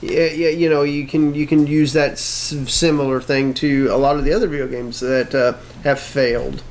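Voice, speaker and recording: A man's voice: fairly high pitch, level tone, normal speed. A man co-hosting a show, giving his opinions in casual conversation. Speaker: co-host of a show. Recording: clear background noise, room ambience.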